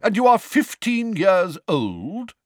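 A man voicing a stern, formidable older witch judge with a booming, brisk delivery. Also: huge pitch swings, no room sound, studio quality, full character voice. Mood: booming, probing.

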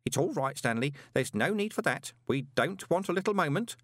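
A man's voice: a little high, sing-song, a little fast, a bit bright, no room sound, studio quality, pitched above the performer's own voice. A man voicing an anxious, deferential old postman in an audiobook, with a placating, hesitant manner. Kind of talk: audiobook character voice.

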